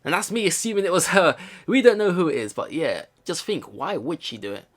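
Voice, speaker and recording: Male let's player, voice a little high, casual and rambling, reads narration then muses aloud. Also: expressive, fairly quick. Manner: rambling, repeats phrases, thinks aloud. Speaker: let's play host. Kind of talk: casual game commentary mixed with reading visual novel narration aloud.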